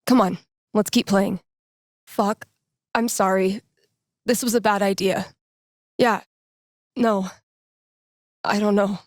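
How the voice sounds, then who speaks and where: A woman's voice: medium pitch, a little mellow, no echo, clean studio sound. A woman playing a candid, self-deprecating young punk nerd in a video game, speaking in a natural, sincere, wry conversational way. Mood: troubled. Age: young adult.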